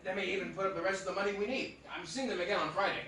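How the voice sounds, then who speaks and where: An actor playing an excited, eager man with big plans, in old-time radio comedy style. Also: sits high, distant mic. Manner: eager, breathlessly rapid. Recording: echoey theatre stage from afar, noisy.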